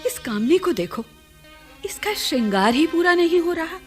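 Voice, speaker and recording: A woman playing an affectionate, teasing older courtesan matron in a Hindi radio play, with formal, deliberate diction. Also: extremely high pitch, sing-song, music in the background. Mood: impatient, urging. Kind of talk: theatrical Hindi radio drama acting.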